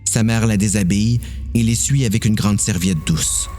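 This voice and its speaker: A man's voice: on the low side, moderate pace, music in the background. A man with a Quebec French accent narrating a dramatised horror story.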